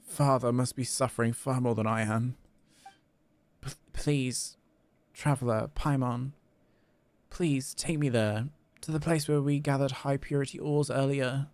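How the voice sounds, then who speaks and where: A man's voice: medium pitch, steady tone, a little slow, a little mellow, close to an everyday voice. Man voicing an earnest, pleading, devoted child.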